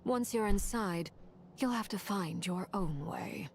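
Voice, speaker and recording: An actress voicing a composed, commanding adult woman in a game, speaking in a calm, measured, formal way. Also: mid-range voice, gravelly, slightly rough, heavily acted.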